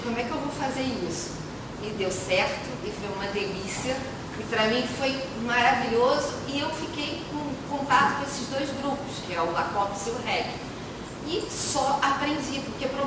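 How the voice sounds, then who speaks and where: A Brazilian woman gives an informal academic talk in a low-set, enthusiastic, conversational voice. She often checks for agreement as she goes. The recording is heavily echoey, picked up from a distance, with noticeable rumbling noise. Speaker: university professor speaking at an academic panel.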